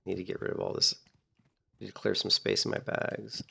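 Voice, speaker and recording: A man streaming a game and chatting casually in a blunt, rambling way, hoarse as he loses his voice to a cold. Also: low voice, level tone, moderate pace, pushed and bright. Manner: blunt, rambling with many "you know"s. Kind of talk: casual gameplay commentary.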